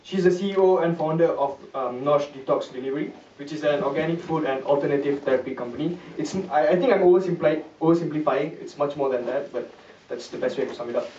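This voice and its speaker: A man's voice: on the high side, fast talker, hardly any pauses, mellow, a little hiss or hum. Hesitant man introducing a speaker. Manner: hesitant, many ums. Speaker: event host. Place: event hall. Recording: echoey hall, distant mic, dull sound.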